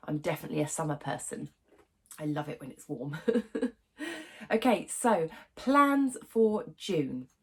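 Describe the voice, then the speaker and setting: A woman's voice: lowish voice, vocal fry. A young woman hosting her sewing channel, chatting to camera with a stuffy nose from a cold, full of ums and little laughs. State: congested from a cold. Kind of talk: casual chat to camera.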